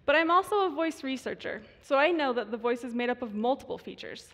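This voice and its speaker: A woman in her twenties with vocal fry, a student voice researcher giving a prepared stage talk in measured tones. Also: high voice, lively intonation, a bit bright. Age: 20s. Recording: reverberant hall.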